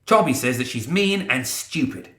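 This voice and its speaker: A cocky teenage troublemaker, played by a male narrator, pitched well up and pushed bright. He talks in brash slang with a bratty swagger, in a roomy echo, like a character in a fantasy audiobook. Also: expressive, normal speed, natural voice. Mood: breezy, cocky. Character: cocky, bratty teenage boy with a cricket bat.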